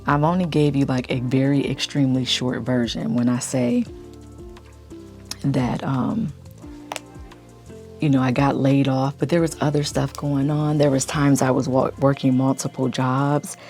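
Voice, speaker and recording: A woman vlogger chatting casually in a deep morning voice, keeping it low and quiet. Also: a little slow, soft background music. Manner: speaking low to stay quiet. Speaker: lifestyle YouTube vlogger. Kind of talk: casual chit-chat vlog.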